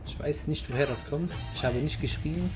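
A slightly hoarse man casually commenting as he plays a video game. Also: a little high, normal speed, over music. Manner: relaxed, thinking aloud. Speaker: let's play host.

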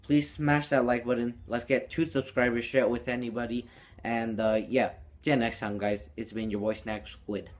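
A man's voice: mid-range voice, little pitch movement, moderate pace. A teenage young man telling a story casually to camera in a small room indoors, as a vlogger.

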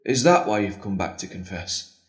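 An actor playing an earnest, pleading priest torn by an old love in an audio drama. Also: male voice, lowish voice, lively intonation, moderate pace, close to an everyday voice. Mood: probing. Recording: roomy, echoing space.

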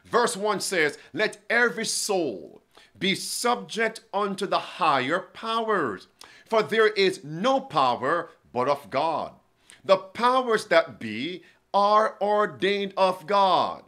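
A man's voice: high-pitched, very animated, slow pace, bright, forceful tone. A man in his forties preaching to camera from a studio desk, reading quotations aloud and asking his listeners pointed questions. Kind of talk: prophecy sermon to camera. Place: indoor studio with bookshelves.

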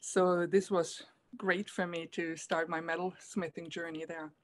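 A middle-aged woman from Germany, a guest on a casual video call, speaking softly and hesitantly with frequent pauses. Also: on the low side, level tone. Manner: soft-spoken, hesitant, many ums, laughs often. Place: room at home, indoors. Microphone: laptop webcam video call.

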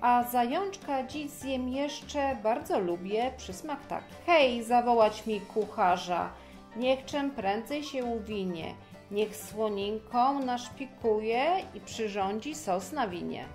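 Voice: mid-range voice, expressive, slow pace, a little mellow, faint music behind. A woman playing a food-loving, gracious and imperious king with stately rhymed speech in a children's audio fairy tale. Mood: commanding.

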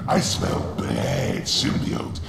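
A man voicing a hulking, wisecracking, menacing alien monster in a video game, with a gravelly growl. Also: extremely slow. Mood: menacing, gleeful. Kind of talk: video game cutscene acting. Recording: echoey, loud background noise.

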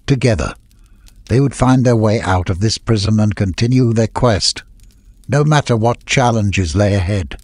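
A man reading a scripted story as an audiobook narrator, with a husky voice. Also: lowish voice, moderate pace, a little mellow, no room sound.

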